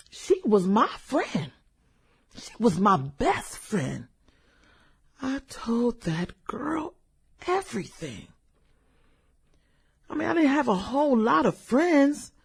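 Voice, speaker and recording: An actress playing a brooding, vengeful woman musing over old classmates in a radio drama, hesitant and husky. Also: medium pitch, heavily acted. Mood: bitter, wounded. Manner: musing, hesitant, chuckles and hums between thoughts. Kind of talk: radio drama acting, conversational monologue.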